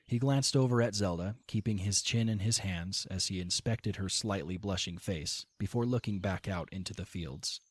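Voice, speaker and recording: A man reading scripted story narration as the narrator of an audio drama, in a measured storytelling manner over music. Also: low voice, breathy edge, no echo.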